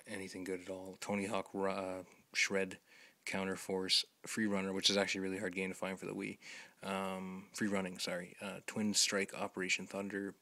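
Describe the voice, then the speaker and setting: A man with a hoarse voice talking quietly and rambling with many ums as he lists items for his vlog. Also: sits low. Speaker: video collector host. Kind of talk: casual vlog, listing items.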